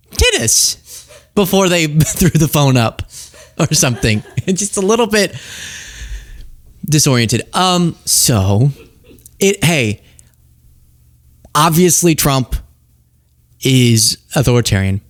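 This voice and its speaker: A man's voice: a little high, lively intonation, slow, long pauses, no room sound. A man hosting a political commentary show, talking casually and sarcastically, laughing often.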